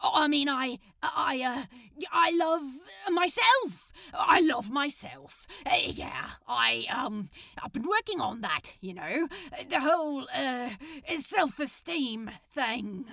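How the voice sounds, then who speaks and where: Woman doing a flustered male superhero, storybook reading, stammering, desperate, sarcastic, bright and gravelly. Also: high-pitched, animated, takes it slowly, dry recording, heavily acted. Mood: panicked, flustered. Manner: stammering, hesitant, blurting. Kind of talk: acted fan fiction audiobook reading.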